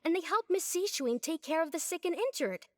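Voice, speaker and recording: A woman's voice: extremely high pitch, lively intonation, a little fast, dry recording. A woman playing an earnest, prim young helper in a video game, polite and given to lecturing. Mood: indignant. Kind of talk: video game dialogue acting.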